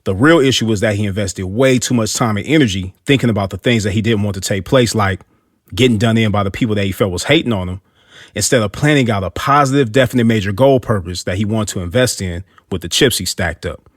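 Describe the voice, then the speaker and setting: A man's voice: fairly low pitch, brisk, dry recording, studio quality. A man narrating a scripted motivational story in an earnest way, with hip-hop street slang.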